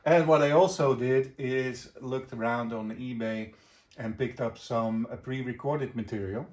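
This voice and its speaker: Male video host, middle-aged, mid-pitched, informal gear chat with a halting, hesitant flow. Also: darkish tone. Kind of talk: casual gear review chat.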